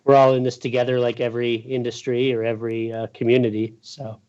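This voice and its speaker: A man's voice: medium pitch, level tone, fast talker, darkish tone, highs cut off. A man chatting casually and informally as an interview guest, rambling a little as he goes. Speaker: guest, boating news journalist. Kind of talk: casual chat.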